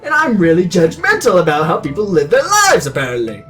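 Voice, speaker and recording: A man's voice: high voice, huge pitch swings, brisk, a bit bright, soft background music. A man in his twenties narrating a story in a readalong, breaking in with crude, sarcastic, self-mocking asides. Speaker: reader of a comedic readalong.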